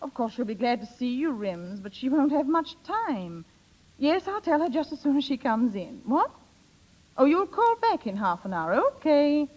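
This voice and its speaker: An actress playing a meddling, gushy and insincere married older sister in 1930s radio style. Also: female voice, high-pitched, big pitch swings, moderate pace, darkish tone. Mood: sweetly false. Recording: muffled 1930s radio recording.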